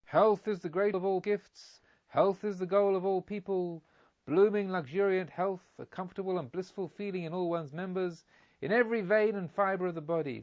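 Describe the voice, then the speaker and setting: A man's voice: high-pitched, darkish tone, highs cut off, pitched above the performer's own voice, lightly acted. A man voicing a boastful town crier in a storybook, grandly declaiming a quack healer's promises.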